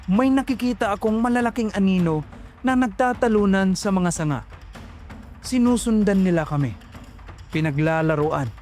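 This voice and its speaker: A man's voice: high-pitched, a little mellow. A young man narrating a horror story in a tense, suspenseful telling.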